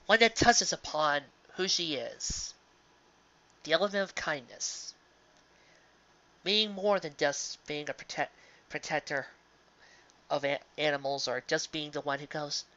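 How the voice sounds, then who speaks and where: Slow, bright-voiced live reader, halting and emphatic. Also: mid-range voice, steady tone. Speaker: live reader of fan fiction. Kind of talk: earnest spoken commentary to an audience. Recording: dull lo-fi home recording.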